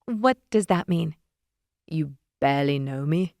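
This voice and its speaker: Woman doing a low, deadpan possessive man. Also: unhurried, gravelly, no echo, clean studio sound, full character voice. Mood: calm. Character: brooding, possessive ruler man, coolly dry.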